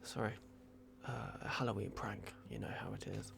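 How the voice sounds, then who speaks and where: A man in an ASMR roleplay, playing a polite, flustered vampire at a clinic in a hushed, hesitant, apologetic way. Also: fairly low pitch, fairly even intonation, moderate pace, gravelly, background noise.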